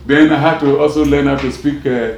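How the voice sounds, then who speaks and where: A man's voice: a little high, steady tone, a little fast, roomy sound, noisy background. A man in his seventies from Nigeria, with a mixed Nigerian and American accent, telling his story in a rambling way with many restarts. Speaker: interviewee, former prison officer. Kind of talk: casual street interview.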